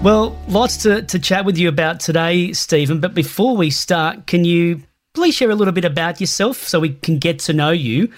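A man's voice: sits high, animated, normal speed, soft background music. A man interviewing a guest, asking casual questions that halt and restart.

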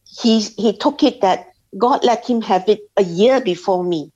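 A woman from Singapore telling her personal faith story as an interview guest, speaking haltingly with many pauses and restarts. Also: medium pitch, dark tone. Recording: slightly dull lo-fi sound.

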